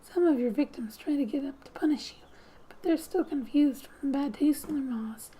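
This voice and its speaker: A woman speaking softly and breathily, often near a whisper, as she gently reads game text aloud for an ASMR gaming video. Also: high-pitched, moderate pace, a little hiss or hum, low-quality recording.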